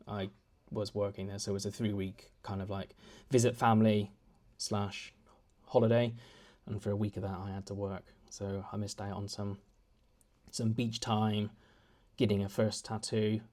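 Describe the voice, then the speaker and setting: A man's voice: fairly low pitch, normal speed, mellow. A young man chatting at home in a casual vlog, rambling and self-deprecating with many restarts. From United Kingdom. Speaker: vlogger. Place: home, indoors.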